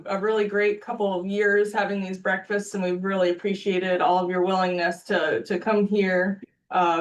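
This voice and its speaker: A woman's voice: on the low side, far from the mic, low-quality recording. A woman giving upbeat, earnest opening remarks as executive director at a breakfast panel, with some ums. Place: meeting room.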